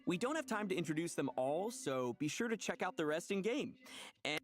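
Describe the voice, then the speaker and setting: Lively man presenting, slightly high voice. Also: brisk. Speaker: game showcase presenter. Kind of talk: scripted upbeat promotional presentation. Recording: faint music under the voice. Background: light background music.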